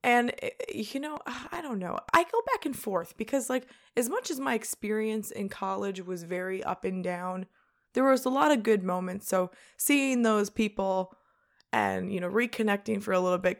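A young woman co-hosting a podcast, chatting casually and animatedly and laughing often. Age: young adult. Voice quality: gravelly low voice. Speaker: podcast co-host. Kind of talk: casual chat.

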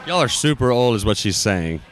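A man's voice: mid-range voice, very animated, a little fast, noisy background. A man hosting a casual interview on an expo floor, rambling and playful, full of "like". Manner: rambling, playful, heavy use of "like". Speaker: podcast host.

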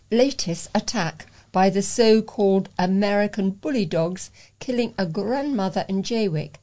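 A woman's voice: medium pitch, a little mellow. A British woman reading newspaper letters aloud as a volunteer news reader.

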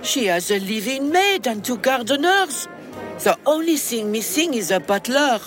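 An actress playing an elegant, gossipy, teasing society lady in an audio drama, arch and unhurried. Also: on the high side, very animated, fairly bright tone, soft background music. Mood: gushing.

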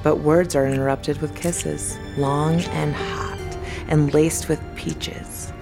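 A woman with a very low voice narrates a scripted audio drama. She delivers storytelling narration while music plays clearly behind her. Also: steady tone, slow.

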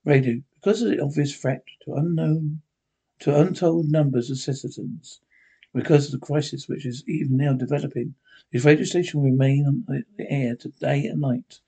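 A man reading an emergency radio news bulletin in a measured, formal way. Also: a little high, steady tone, mellow, slightly rough, poor audio.

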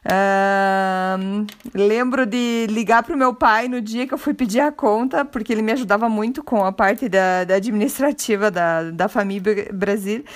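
A woman's voice: medium pitch, normal speed. A woman from Curitiba with a Curitiba accent, hosting her own podcast and chatting casually, laughing often and restarting her phrases as she goes. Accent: Curitiba Brazilian Portuguese. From Curitiba, Brazil. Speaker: podcast host. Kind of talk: casual personal monologue.

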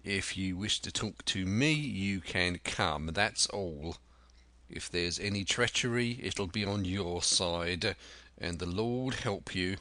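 Man as stern sea captain, low pitch, curt, scornful, deliberate orders, storybook adventure. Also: pushed and bright, dry recording, heavily acted. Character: stern, curt, commanding male ship's captain.

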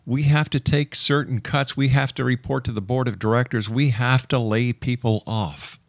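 A man's voice: mid-range voice, steady tone, normal speed, creaky, no room sound. A man hosting a radio interview in a conversational way. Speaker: radio talk show host.

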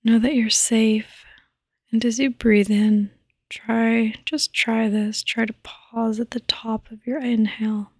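A woman gently guiding a sleep meditation in a soft, breathy, soothing voice that often nears a whisper, close to the microphone. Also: on the high side, little pitch movement, takes it slowly, no room sound. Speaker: podcast host and meditation guide. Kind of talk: guided meditation, sleep podcast.

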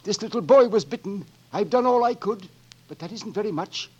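A woman's voice: medium pitch, lively intonation, brisk, darkish tone, slightly rough, highs cut off. An actress playing a desperate, pleading woman in a 1940s radio drama. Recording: old radio broadcast, faint music.

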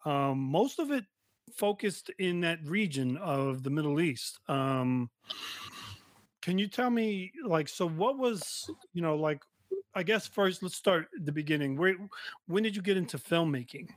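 Rambling podcast host, higher male voice. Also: animated, unhurried, no echo. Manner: rambling, with many restarts, likes and ums. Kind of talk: podcast interview.

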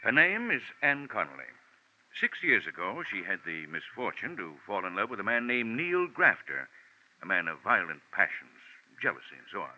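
Fussy, low-voiced, swooping insurance boss. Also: male voice, wildly swooping pitch, normal speed. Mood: earnest. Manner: blustery, stuffy, repeats himself. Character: fussy, pompous older insurance general manager. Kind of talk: 1940s radio drama acting. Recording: muffled 1940s radio recording.

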